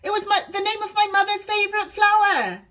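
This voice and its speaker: A woman voicing an excitable, boastful young Welsh girl in a storybook, eager and dramatic as she tells tall tales. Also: very high-pitched, fairly quick, voice pushed up.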